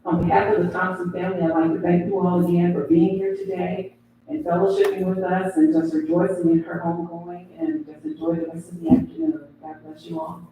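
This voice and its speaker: A man's voice: sits high, brisk, vocal fry, dark tone, a little hiss or hum. A man giving a heartfelt eulogy for a family member at a funeral home. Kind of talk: memorial tribute speech. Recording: very echoey hall, distant mic.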